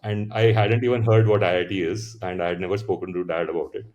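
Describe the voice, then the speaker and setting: An Indian man in his thirties with a very low voice tells his story in a relaxed interview. He talks in a thoughtful, meandering way, full of fillers and restarts. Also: fast, dull audio. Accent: Indian English. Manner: reflective, many fillers and restarts. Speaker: founder, podcast guest.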